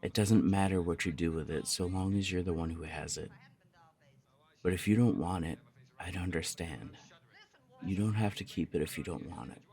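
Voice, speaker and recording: A man playing a lovesick, earnest young punk roommate in an intimate ASMR romance roleplay, speaking in a halting way. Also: low-pitched, darkish tone. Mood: earnest, anguished confession.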